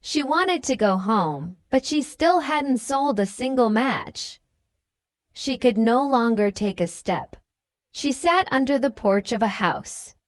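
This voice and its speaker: A woman's voice: a little high, lively intonation, slow pace, no room sound. A woman narrating a scripted children's story as a storybook reader.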